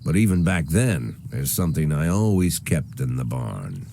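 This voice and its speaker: A stubborn old police chief in a noir video game, very low and dark in voice. The delivery is sardonic and weary, with lively swings in pitch over faint background ambience. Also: male voice, creaky, slight background noise, heavily acted. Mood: wry, brooding. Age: older. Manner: world-weary, sardonic, deliberate. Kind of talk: noir scripted game narration.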